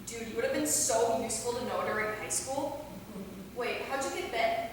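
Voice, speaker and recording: High-pitched cheeky young vampire played by a woman. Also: moderate pace, natural voice. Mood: flippant. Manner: teasing, slangy, sarcastic. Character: cheeky, flippant young female vampire from Wisconsin. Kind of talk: staged reading of a comedy play. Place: theater, recorded from a distance. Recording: very echoey hall, far mic, clear noise.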